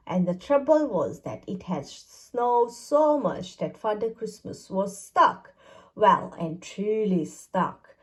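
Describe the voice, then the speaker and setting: Woman, children's read-aloud narration, expressive, very slow pace, lively high pitch. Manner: expressive, deliberate storytelling. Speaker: storybook reader.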